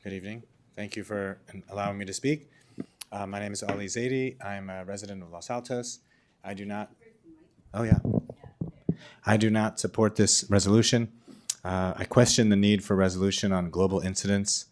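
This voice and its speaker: A man giving a calm, matter-of-fact public comment at a council meeting. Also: lowish voice, moderate pace.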